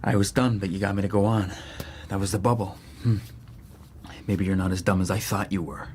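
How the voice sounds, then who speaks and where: An actor playing a defensive, gullible young American graduate student in a radio drama, with halting, stammering speech. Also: male voice, low-pitched, fairly even intonation, darkish tone, a little hiss or hum, lightly acted. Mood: guarded. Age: young adult. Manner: halting, stammering when worked up. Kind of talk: naturalistic radio drama acting.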